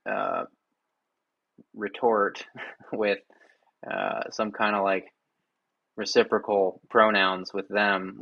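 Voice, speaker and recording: Low-voiced man hosting with flat delivery. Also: vocal fry, dry recording, lo-fi sound. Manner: hesitant, thinking out loud with many fillers. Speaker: host. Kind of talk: casual call-in listening chat.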